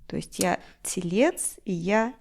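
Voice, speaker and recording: A woman from Ufa, a guest in a chat, speaking in a slow, measured, clear way. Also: medium pitch, expressive, darkish tone. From Ufa, Bashkortostan, Russia.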